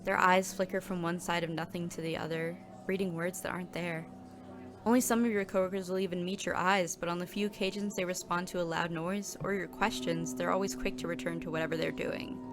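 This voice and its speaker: A woman's voice: on the low side, fairly even intonation, a little fast, natural voice. A woman playing a weary, downtrodden coffee shop worker in a scripted audio drama. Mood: weary, brooding. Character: weary, downtrodden barista narrating their own workday in second person. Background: music.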